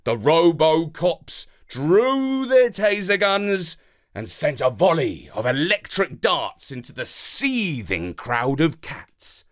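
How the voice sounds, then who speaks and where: A man's voice: fairly high pitch, sing-song, slow pace. A man reading a children's story in a dramatic, playful way, as scripted narration.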